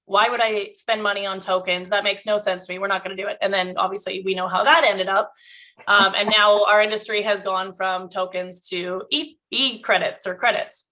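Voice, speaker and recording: Bright-voiced woman, slightly hoarse. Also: mid-range voice, fairly even intonation, moderate pace. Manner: chatty, laughs often, restarts sentences. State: slightly losing her voice. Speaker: business owner, guest. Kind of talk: casual interview chat.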